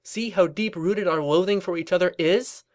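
Reader doing an indignant rebel girl pony, cartoon style, high voice, snappy and defensive, ranty. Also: normal speed, close to an everyday voice. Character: indignant, fanatical young female cartoon pony revolutionary.

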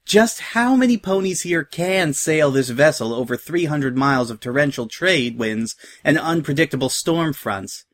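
A man's voice: a little high, animated, moderate pace, few pauses, dry recording. A man voicing a shrewd, sardonic young female unicorn in a storybook, with pointed, dry questioning. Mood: glaring challenge.

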